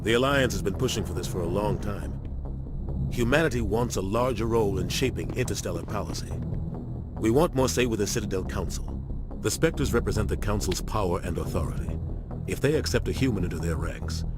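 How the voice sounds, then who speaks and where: Actor as a veteran captain, low firm voice, music behind. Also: male voice, lively intonation, fairly quick, close to an everyday voice. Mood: grave. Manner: firm, commanding briefing tone. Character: seasoned male starship captain, steady leader. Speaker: ship captain.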